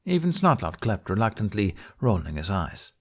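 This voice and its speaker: A man's voice: fairly low pitch, sing-song, moderate pace, slightly rough. A man reading scripted fantasy fiction aloud as an audiobook narrator.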